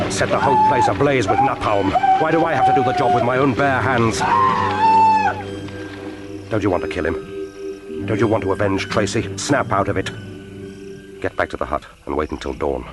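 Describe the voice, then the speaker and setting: An actor playing a vengeful, sardonic lone secret agent thinking aloud in a radio play. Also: male voice, mid-range voice. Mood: horrified, furious, then grimly watchful. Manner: muttering internal monologue, sardonic. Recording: loud music behind the voice.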